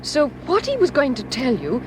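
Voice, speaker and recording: Inquisitive female sleuth's partner, played by an actress: high, animated voice over loud engine-like noise. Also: moderate pace. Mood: curious. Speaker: actress in a detective audio drama.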